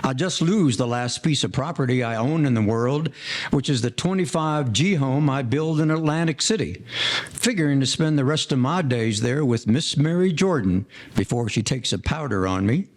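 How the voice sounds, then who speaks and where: An actor playing a broke, sentimental retired bootlegger in an old-time gangster audio play, with formal, slangy speech. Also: male voice, mid-range voice, animated, normal speed, lightly acted. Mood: resigned, rueful. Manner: formal slang-laden storytelling.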